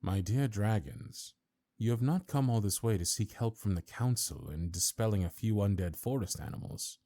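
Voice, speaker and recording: Man playing a low-voiced, pompous fantasy high councilor. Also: expressive, normal speed, dark tone. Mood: slyly probing. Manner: formal, pompous, slyly courteous. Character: ancient, stately male high councilor, suavely condescending. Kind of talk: audio roleplay fantasy acting.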